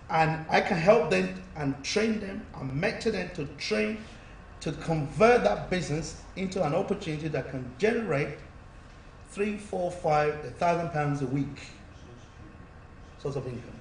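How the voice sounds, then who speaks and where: Male business coach guest, persuasive and repetitive, slightly high voice, distant mic with faint noise. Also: echoey room. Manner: persuasive, repetitive pitch-style delivery. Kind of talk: interview answers.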